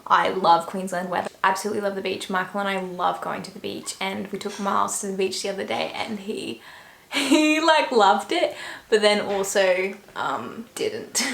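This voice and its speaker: A woman's voice: mid-range voice, vocal fry, a bit bright. Chatty young Australian woman in an echoey room. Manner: chatty, lots of fillers and trailing asides. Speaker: YouTuber. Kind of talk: casual Q&A vlog. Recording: echoey room with faint noise.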